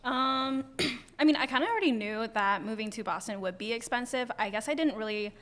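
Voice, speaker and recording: A woman's voice: on the high side, expressive, fairly quick, fairly bright tone. Chatty young woman from Maine. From Portland, Maine. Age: young adult. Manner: chatty, with "like" and "um" fillers. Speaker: optometry student, podcast guest. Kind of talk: casual podcast chat.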